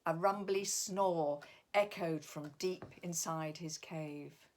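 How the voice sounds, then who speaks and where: A woman's voice: sits low, slow, darkish tone. A woman narrating a picture book expressively for young children, as its author.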